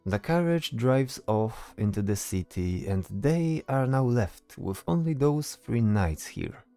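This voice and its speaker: A man's voice: on the low side, mellow. A man narrating a scripted fantasy audio story.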